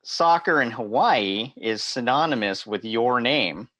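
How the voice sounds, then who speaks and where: A man's voice: mid-range voice, animated, measured pace, fairly bright tone, dry recording. A man hosting an interview, asking questions in an upbeat, enthusiastic way. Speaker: host. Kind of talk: conference interview show.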